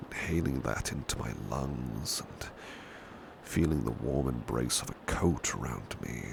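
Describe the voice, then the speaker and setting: A British man playing a devoted, gentle butler in an ASMR roleplay, speaking softly and courteously with hushed, intimate delivery. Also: rumbling low, full character voice. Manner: formal, courteous, hushed and hesitant, with long pauses. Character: devoted, gentle, protective male butler of an estate. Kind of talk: ASMR roleplay, soft intimate speech slipping into whispers. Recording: clear steady rain-like background noise.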